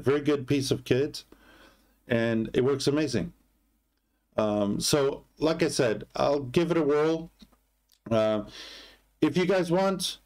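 A man giving a casual product demonstration as a reviewer, speaking haltingly in short phrases. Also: mid-range voice, a little mellow.

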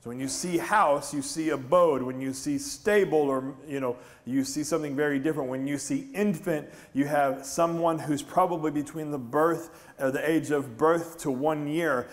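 A middle-aged American man preaching a conversational sermon as a pastor, telling the story in an animated way and putting questions to his congregation. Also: on the high side. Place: large church stage, indoors.

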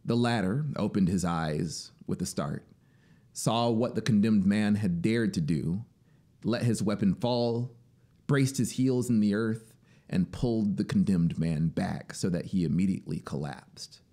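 A man in his thirties reading a story as dramatic, deliberate, expressive audiobook narration, close on the mic. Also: lowish voice. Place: small home music studio, indoors. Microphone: broadcast mic on boom arm, close. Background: ambient synth soundscape noise.

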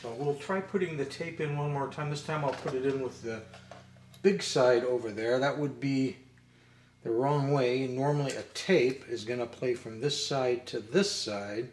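An older man casually thinking aloud as he demonstrates something hands-on, musing and low-key, picked up by a camera mic indoors. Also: normal speed. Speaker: vintage robot channel host. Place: indoor room with glass display table. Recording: echoey room, clear noise, handling clicks.

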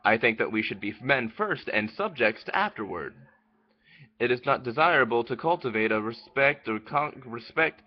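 A man's voice: mid-range voice, lo-fi sound. A man in his twenties reading an old essay aloud in a small room, stumbling and restarting words as he goes. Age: 20s. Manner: stumbling, repeating words and restarting mid-word. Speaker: vlogger. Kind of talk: halting read-aloud under a speech jammer. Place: small room by a window, indoors.

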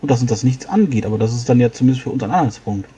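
Fast-talking man with a mellow voice. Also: mid-range voice, animated, breathy edge. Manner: quick, reasoning aloud with fillers. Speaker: player. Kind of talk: in-character role-play.

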